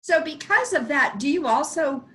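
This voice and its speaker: A woman's voice: high voice, animated, normal speed, bright, forceful tone. Friendly woman interviewer. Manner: friendly, encouraging, rambling questions. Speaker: interviewer, host. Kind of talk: casual interview.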